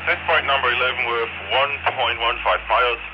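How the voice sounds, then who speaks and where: A man's voice: mid-range voice, fairly even intonation, brisk, dull audio, background noise. A man giving casual test commentary over a two-way radio, repeating his phrases like announcements. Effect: heard over a walkie-talkie radio. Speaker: product reviewer. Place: outdoors, transmitted by two-way radio.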